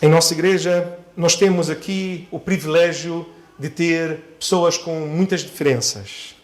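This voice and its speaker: A male pastor delivers a sermon in a notably high voice. He builds his points in measured, deliberate phrases. Key words come back in emphatic repetition as he addresses his congregation. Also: steady tone. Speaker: pastor preaching.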